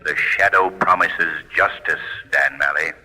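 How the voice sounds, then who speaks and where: The Shadow, deep, piercing phantom avenger. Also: male voice, fairly even intonation, sharp tone, full character voice. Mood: solemn. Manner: ominous, deliberate, theatrical. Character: The Shadow, mysterious invisible male avenger, stern and commanding. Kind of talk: 1940s radio drama acting. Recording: muffled vintage radio recording, long reverb.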